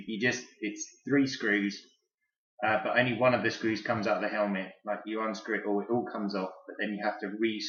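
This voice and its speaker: Deep-voiced man reviewing in an echoey room. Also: a bit bright. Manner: chatty, enthusiastic, rambling. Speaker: toy and collectible reviewer. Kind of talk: casual hands-on product review. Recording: roomy echo, dull lo-fi sound.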